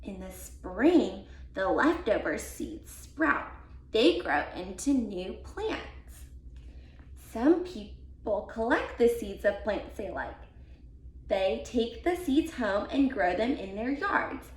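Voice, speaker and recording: A woman reading a children's picture book aloud as a volunteer, with deliberate, expressive emphasis for young listeners. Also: medium pitch. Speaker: volunteer read-aloud reader. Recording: roomy, echoey sound.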